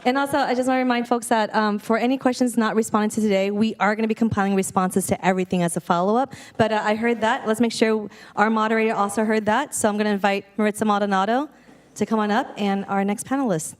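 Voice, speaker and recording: A woman's voice: mid-range voice, fairly even intonation, quick pace. A woman hosting a community meeting who talks to the room in a friendly, informal way and keeps things moving. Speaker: event emcee.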